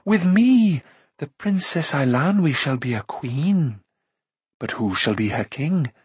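Man voicing a slow, menacing sorceress queen. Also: medium pitch, expressive. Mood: sly, tempting. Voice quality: hoarse whispering. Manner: slow, drawn-out, threatening. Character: menacing sorceress queen, cunning, scornful, commanding. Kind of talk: audiobook character voice.

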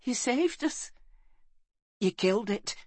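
A woman's voice: fairly high pitch, lively intonation, takes it slowly, slightly rough, dry recording, pitched above the performer's own voice, heavily acted. A woman voicing a shaken, grieving young boy warrior in an audiobook story, earnest and emotional.

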